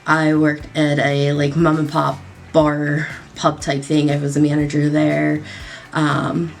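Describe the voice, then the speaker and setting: A woman's voice: low voice, completely flat delivery, takes it slowly, gravelly, a little hiss or hum. A young woman doing her makeup on camera, rambling casually with lots of fillers, her voice a little nasal after a cold. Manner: rambling, lots of likes and ums. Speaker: beauty vlogger. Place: home at night.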